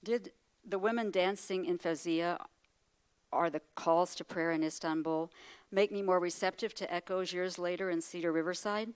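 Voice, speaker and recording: An older woman, a retired professor, reads a prepared speech at a luncheon. Her voice sits a little low, and she tells her life story thoughtfully. She adds wry asides and rhetorical questions along the way. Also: fairly even intonation, normal speed, smooth. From United States. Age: 60s. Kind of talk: prepared speech read to an audience.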